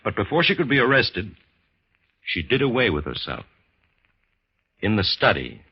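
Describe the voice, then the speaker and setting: An actor playing a grave, learned doctor of the supernatural, solemn and portentous, in a 1950s radio horror drama. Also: male voice, on the low side, very animated, moderate pace, husky, full character voice. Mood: grave, ominous. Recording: dull, lo-fi vintage radio recording.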